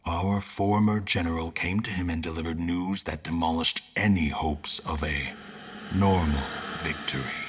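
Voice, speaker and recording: An actor playing a grave war general, speaking in a solemn, deliberate and theatrical manner in a fantasy audio drama. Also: male voice, deep voice, fairly even intonation, fairly bright tone, a little hiss or hum. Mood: grave, resolute. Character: grave male war general, devout seeker of a lost dream. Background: music.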